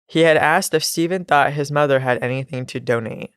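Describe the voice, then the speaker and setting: Congested nasal woman, podcast co-host, smooth, dry close sound. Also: deep voice, brisk, dry recording. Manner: laughs often, reads a story with asides. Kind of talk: casual true crime podcast storytelling.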